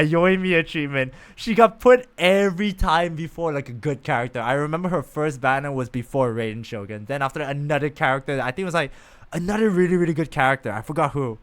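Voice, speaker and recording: A man streaming a game, chatting casually and rambling to his viewers. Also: fairly high pitch. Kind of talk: casual gameplay chat.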